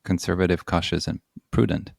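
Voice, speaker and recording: Deep creaky podcast host. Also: male voice, level tone, a little mellow, no echo, studio quality. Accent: Polish. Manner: measured, asks open questions. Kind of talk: interview.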